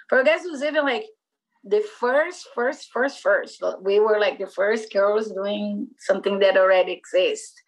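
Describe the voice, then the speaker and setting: A woman's voice: medium pitch, rough recording. A woman in her forties from Brazil with a Brazilian Portuguese accent, speaking English as a second language and chatting casually in an interview, full of "like" and "you know". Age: 40s. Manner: rambling, many fillers like "like" and "you know". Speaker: skateboarder and former magazine publisher.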